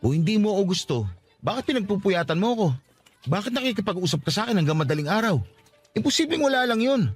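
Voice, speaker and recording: Radio drama suitor played by a man: young, working-class, lovesick, high and quick, stubbornly pleading. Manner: pleading, insistent. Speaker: voice actor in a radio-style love story. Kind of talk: Filipino radio drama acting.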